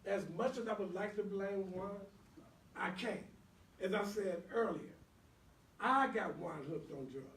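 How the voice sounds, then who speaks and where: Stage drama: troubled father and guilty younger brother, played by a man, high voice, earnest colloquial delivery, distant echoey mic. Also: unhurried, fairly bright tone. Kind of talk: stage play skit acting.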